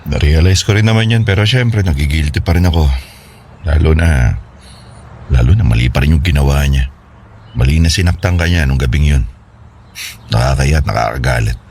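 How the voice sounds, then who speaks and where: A man's voice: rumbling low, normal speed, long pauses, a little mellow, a little hiss or hum. A man playing an earnest, regretful separated husband and devoted father in a radio drama, speaking in a confiding, hesitant way. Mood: apologetic. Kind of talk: Filipino radio drama dialogue.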